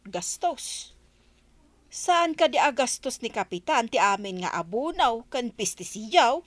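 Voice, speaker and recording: A woman's voice: a little high, big pitch swings, measured pace, fairly bright tone, no room sound. A woman playing an inquisitive, advice-giving village neighbour in a radio comedy, with a Filipino accent. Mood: admonishing. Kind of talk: Ilocano radio comedy drama acting.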